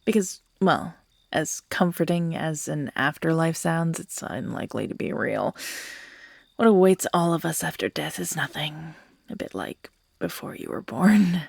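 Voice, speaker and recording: A woman playing an obsessive, unhinged yandere who is tender and menacing by turns, speaking in an intimate, halting, breathy ASMR roleplay style that often drops into whispers. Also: deep voice, animated, darkish tone, no echo, studio quality, heavily acted. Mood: menacing, gleeful. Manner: slow, halting, cooing, broken by giggles and sighs.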